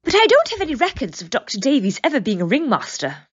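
A woman acts an anxious, literal-minded assistant in an audio drama. Her voice is extremely high and very animated, on a slightly dull lo-fi recording. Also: fast talker, slightly rough, heavily acted. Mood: worried, puzzled.

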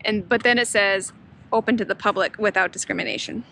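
A woman's voice: fairly even intonation, fairly quick, vocal fry, fairly bright tone. A woman in her thirties chatting casually as she hosts an outdoor vlog on a handheld camera. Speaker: vlog host. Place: outdoors on trails and roadsides. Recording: noisy outdoor recording with ambient rumble.

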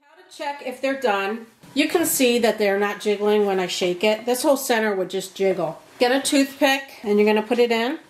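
A woman's voice: mid-range voice, normal speed. A woman in her thirties giving a friendly step-by-step cooking demonstration in her home kitchen. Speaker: home cook.

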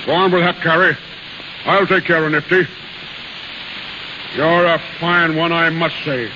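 Bright, high-voiced carnival boss colonel. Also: male voice, steady tone, unhurried. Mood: reproving. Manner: scolding yet placating. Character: carnival boss colonel, a reproving, persuasive man. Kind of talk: 1930s radio drama acting. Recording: echoing, hissy, muffled 1930s radio recording.

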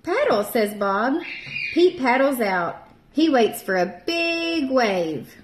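A woman in her thirties, a teacher, reading a picture book aloud to young children and doing bright voices for the characters. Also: on the high side, very animated, slow, a little hiss or hum. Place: home room, seated at a table with a child. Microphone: camera at table distance. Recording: echoey room.

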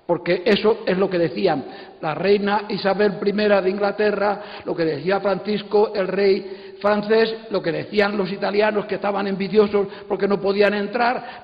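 A man, giving an informal, emphatic and opinionated conference talk. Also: high voice. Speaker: invited panel speaker, book editor. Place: stage in an auditorium. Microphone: handheld microphone. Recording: muffled, long hall reverb.

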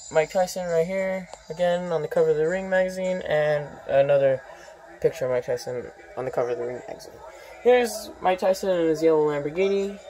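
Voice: medium pitch, darkish tone, rough recording. Casual off-screen tour guide with many ums. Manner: unhurried, lists items, many ums and uhs. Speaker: vlogger giving a home tour. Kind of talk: casual walkthrough narration. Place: indoor room full of posters and memorabilia.

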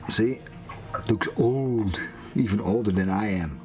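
Slow-talking man narrating a repair. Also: fairly low pitch, faint music behind. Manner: slow, relaxed, thinking aloud while working with his hands. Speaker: sailing vlogger repairing boat gear. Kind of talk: casual how-to narration. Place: small workshop bench aboard a boat.

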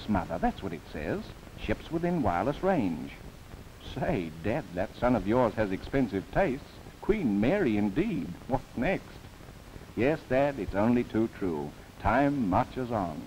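A man narrates in a lively, rising-and-falling newsreel manner, his voice sitting fairly low. He slips in wry, playful asides. The sound comes from an old film soundtrack with a faint hiss underneath. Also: low-quality recording. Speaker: documentary narrator.

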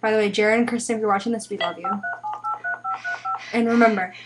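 A woman's voice: mid-range voice, fairly even intonation, a little fast. A teenage young woman chatting casually, recorded on a webcam microphone in a room at home. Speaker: vlogger making prank calls. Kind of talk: casual chat. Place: small room indoors at home. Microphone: webcam microphone at arm's length. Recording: noisy webcam microphone, clicks.

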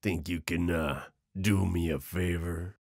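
A man playing an amused, flirtatious and menacing werewolf in an intimate roleplay audio drama, gravelly and husky, drawling and teasing. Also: low-pitched, fairly even intonation, slow pace, a little mellow, dry recording, studio quality, heavily acted. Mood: sly. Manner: drawling, teasing, with breathy chuckles and hesitant asides.

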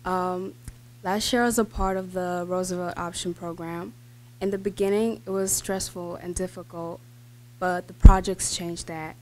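A woman's voice: on the low side, fairly even intonation, normal speed, slight background noise. A teenage girl reading a prepared speech at a school board meeting, with fillers and restarts. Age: teens. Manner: reads from notes with fillers and restarts. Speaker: student speaker. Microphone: podium microphone.

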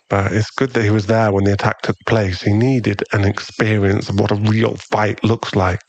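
A man's voice: on the low side, muffled recording. A man playing a brooding, protective mafia boss in love, in an intimate ASMR boyfriend roleplay. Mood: calm, firm.

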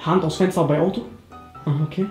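Young man, excited slangy talk, echoey room. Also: on the high side, quick pace. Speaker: player guessing in a pantomime game. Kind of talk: casual joking game chat among friends. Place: echoey room indoors at home. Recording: echoey room with music behind.

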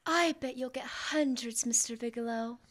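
High, lovestruck young woman played by a student actress. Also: normal speed, fairly bright tone. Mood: coy, hinting. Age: young adult.

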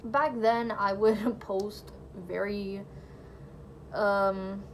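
A young woman whose first language is Portuguese tells her personal testimony to camera from her bedroom. She rambles casually, full of fillers, at a middling pitch. Faint background noise sits under the close phone mic. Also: little pitch movement, extremely slow. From Brazilian family. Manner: chatty, lots of "like" and "you know", laughs lightly. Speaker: vlogger sharing her faith testimony. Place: small bedroom, indoors. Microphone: phone or camera close in front.

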